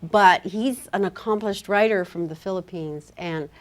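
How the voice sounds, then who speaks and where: A woman's voice: medium pitch, unhurried. A woman moderating a live literary discussion, asking musing, roundabout questions in a conversational way. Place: live public literary event.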